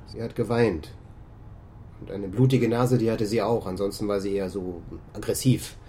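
An actor playing a blunt, flippant office colleague with a sarcastic, colloquial way of talking in a German radio crime drama. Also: male voice, lowish voice, fairly even intonation, moderate pace, warm tone, slight background noise, close to an everyday voice. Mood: flippant, guarded. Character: blunt, sarcastic male call centre colleague, a father.

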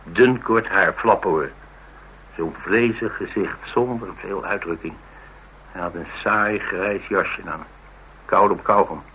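An actor playing an inquisitive, talkative witness who recounts suspicious events wryly in a radio drama. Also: male voice, sits low, slow pace, pushed and bright, slight background noise, heavily acted, poor audio. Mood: recollecting. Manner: wry, colloquial storytelling.

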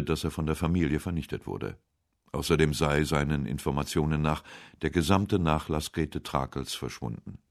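Male narrator for a literary radio documentary: extremely deep voice, measured and serious delivery. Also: vocal fry.

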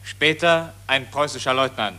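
A man's voice: mid-range voice, moderate pace, bright, forceful tone, close to an everyday voice. A man narrating scene settings in scripted announcements for a 1940s radio play. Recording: old 1940s radio recording.

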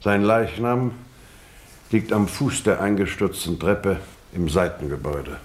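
An actor playing a shrewd, probing detective in a radio drama, formal, measured and relentless in questioning. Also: male voice, sits low, lively intonation. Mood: probing, grave. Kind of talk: scripted radio drama dialogue.

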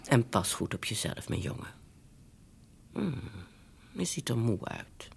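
A woman's voice: a bass voice, sing-song, unhurried, creaky, very noisy, heavily acted. A woman playing a fretful, meddling older mother in a Dutch radio drama, hesitant and nagging as she coaxes. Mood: pleading, insistent. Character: fretful, meddling elderly bourgeois mother, scheming and shame-conscious.

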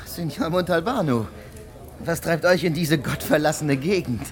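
A man's voice: a little high, normal speed, close to an everyday voice. A man acting in a German radio play as an evasive, nervous friend under accusation, deferential yet hesitant and defensive. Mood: guarded, civil. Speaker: actor in a radio drama.

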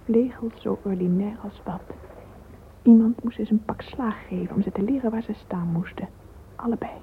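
Actress as self-absorbed young woman, radio play. Confiding, breathy, whispery inner voice, thin noisy recording. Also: medium pitch, steady tone, normal speed, close to an everyday voice. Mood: catty, scornful. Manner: intimate, confiding. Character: dreamy, vain, catty romantic young woman musing privately. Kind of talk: radio drama interior monologue. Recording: tinny, hissy old radio recording.

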